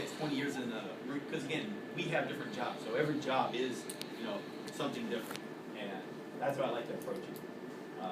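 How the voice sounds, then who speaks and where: Guy in his 40s rambling thru his retirement speech, laughing at himself, echoey hall, mic far off. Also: mid-range voice. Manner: rambling, self-deprecating, laughing at his own stories. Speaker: retiring sailor giving a speech. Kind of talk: informal ceremony speech. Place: hall at a military ceremony. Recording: echoey hall, heard from a distance, loud noise.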